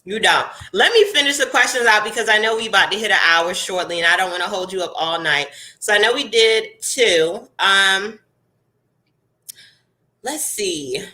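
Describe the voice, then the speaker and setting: A woman's voice: medium pitch, normal speed. Chatty congested woman hosting a live chat. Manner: chatty, animated, laughs often. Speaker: host.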